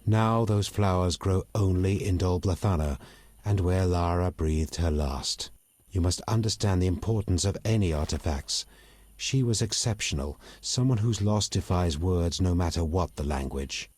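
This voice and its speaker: Bitter, proud elf rebel, low husky voice. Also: male voice, a little mellow, no echo. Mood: solemn. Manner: articulate, measured, disdainful. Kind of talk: video game dialogue acting.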